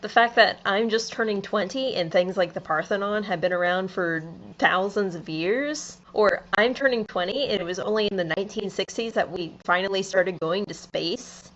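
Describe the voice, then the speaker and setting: A young woman, about to turn twenty, talks to her camera in a sleepy, musing vlog monologue. Her voice sits at an ordinary pitch for a woman. The home recording sounds a little dull and muffled. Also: a bit bright. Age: young adult. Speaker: vlogger. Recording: slightly dull home video audio.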